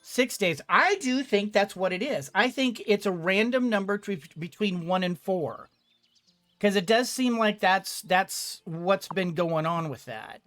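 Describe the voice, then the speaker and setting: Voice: medium pitch, lively intonation, measured pace, fairly bright tone, faint music behind. A gamer giving casual let's play commentary, thinking aloud and often repeating words. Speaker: gaming video host.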